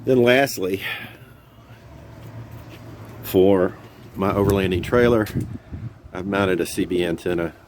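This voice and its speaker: A man's voice: low-pitched, takes it slowly, pauses often. A man giving a casual, plain-spoken, unscripted product walkthrough as host, recorded on a phone. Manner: plain-spoken, informal, explanatory. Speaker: host of an off-road radio gear overview. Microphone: phone used for filming. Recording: noisy phone camera audio.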